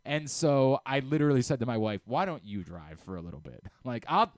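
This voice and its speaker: A man's voice: medium pitch, big pitch swings, brisk, no echo, clean studio sound. A man hosting a radio talk show, chatting casually and emphatically, acting out his asides and reading sponsor spots conversationally. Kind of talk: animated casual chat and live ad reads. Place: radio studio.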